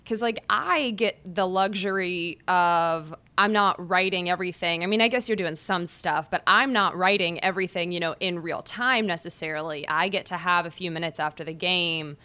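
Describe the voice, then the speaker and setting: A woman's voice: lowish voice, moderate pace, hardly any pauses, bright, forceful tone. Casual young woman podcast host. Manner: casual, filler-heavy, "like" and "um". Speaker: beat reporter, podcast host. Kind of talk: casual interview chat.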